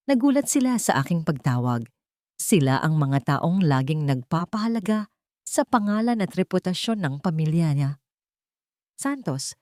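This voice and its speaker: Lady reading a dramatic love story, voice a bit low, super dry close sound. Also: mellow, no room sound. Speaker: story narrator. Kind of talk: scripted dramatic story narration.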